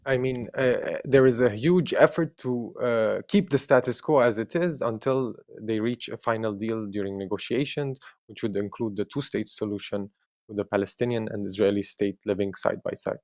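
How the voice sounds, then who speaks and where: A man's voice: on the low side, moderate pace, a little mellow, no echo, studio quality. A man from Jerusalem whose first language is Armenian, speaking earnestly as an interview guest, with many fillers and restarts. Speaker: activist guest.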